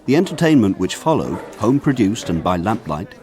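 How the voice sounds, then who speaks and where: An actor playing a grown man narrating his village boyhood, in a measured, wry, lyrical radio drama style. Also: on the low side, sing-song, darkish tone, some chatter behind. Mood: wry nostalgia.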